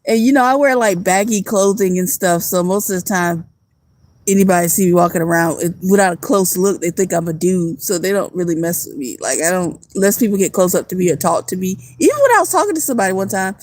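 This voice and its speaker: A woman's voice: on the low side, lively intonation, fast, a little mellow, dry recording. A woman who is a homeless vlogger and music producer, chatting casually and rambling in slang on a phone livestream outdoors at night.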